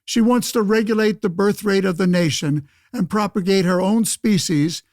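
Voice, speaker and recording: An actor playing a blustering, pompous old-time senator in a radio play, declaiming theatrically like a stump orator. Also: male voice, sits high, normal speed, darkish tone, no echo, studio quality. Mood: pompous scorn. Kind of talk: old-fashioned political oratory, pseudo-radio play acting.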